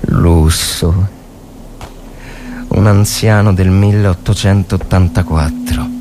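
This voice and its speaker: Low-pitched man narrating gravely. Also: background noise. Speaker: literary reader, narrator. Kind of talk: dramatic scripted narration of a war story.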